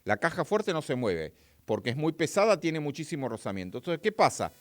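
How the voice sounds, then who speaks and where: Lively Argentine man teaching physics. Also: fairly high pitch, a little fast, dry recording. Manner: chatty, talks straight to viewers and reads their chat. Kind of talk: live-streamed physics lesson.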